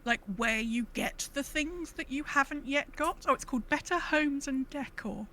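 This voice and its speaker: A woman's voice: high voice, normal speed, slight background noise, rough recording. A woman co-hosting a gaming podcast in casual chat, rambling and breaking into her own sentences with quoted asides. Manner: rambling, self-interrupting, animated retelling with quoted asides. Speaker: podcast co-host.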